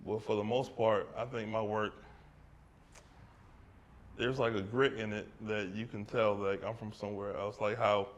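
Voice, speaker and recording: A man's voice: fairly low pitch, little pitch movement, measured pace, pauses often, dull audio. Casual man talking in a gallery. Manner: loose and conversational, often tags "right?" and "like". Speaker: artist discussing his exhibition. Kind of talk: casual gallery talk answering audience questions. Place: art gallery with an audience.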